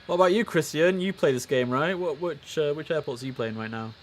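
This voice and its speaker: A man's voice: on the high side, a little fast. Man streaming, over background noise. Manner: chatty, mixes clipped radio phraseology with asides. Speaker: livestream host. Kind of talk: casual game streaming commentary.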